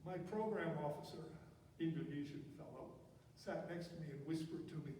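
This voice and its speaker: An older man giving a relaxed slide talk about his career, with asides and self-corrections. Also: a little high, moderate pace, slight background noise. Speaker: retired UN program director giving a talk. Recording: echoey hall, speaker far from mic.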